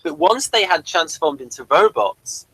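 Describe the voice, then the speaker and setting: A man's voice: fairly high pitch, sing-song, normal speed, vocal fry, sharp tone, breathy edge, dry recording, rough recording. A man hosting a casual pop-culture review, rambling keenly through small details. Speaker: review show host.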